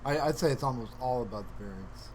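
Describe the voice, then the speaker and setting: A man's voice: medium pitch, quick pace, a little mellow, slight background noise, poor audio. A man casually rambling to livestream viewers in a playful, mock-earnest, musing way. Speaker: livestreamer hosting his own stream.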